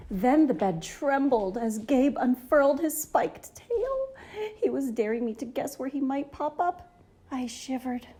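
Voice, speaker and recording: Lady reading a kids picture book, soft mellow tone, really into it, expressive. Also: high-pitched, a little slow. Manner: enthusiastic, theatrical. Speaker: storybook reader, channel host. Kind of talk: expressive children's story narration.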